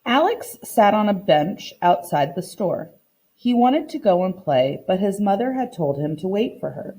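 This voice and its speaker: A woman teacher reading a storybook aloud to children in an expressive, deliberate way, pausing to teach. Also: medium pitch, low-quality recording. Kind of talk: read-aloud lesson for children, storybook narration.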